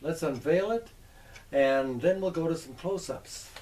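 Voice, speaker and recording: A man hosting a workshop video, presenting and explaining in a measured, orderly way. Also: fairly high pitch, noisy background. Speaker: host of a workshop video on antique radios.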